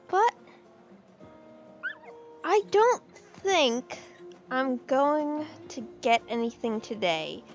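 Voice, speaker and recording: A woman with a fairly high voice chats excitably through a game update, rambling with plenty of fillers. Her voice sounds a little off and worn from the weather. The recording is dull and lo-fi, with faint music underneath. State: voice slightly off from weather. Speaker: game video creator. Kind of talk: casual gameplay commentary.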